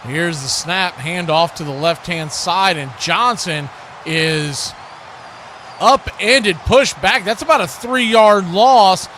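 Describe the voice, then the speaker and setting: A high-pitched American male voice delivers live football play-by-play. He moves between short, clipped present-tense calls and conversational asides. A clear layer of background noise sits behind the voice throughout. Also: takes it slowly, a bit bright. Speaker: play-by-play sports commentator.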